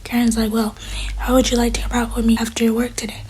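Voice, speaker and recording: A woman's voice: on the high side, flat delivery, fast, a little mellow, a little hiss or hum. A woman whispering her way through a casual recap of a TV episode.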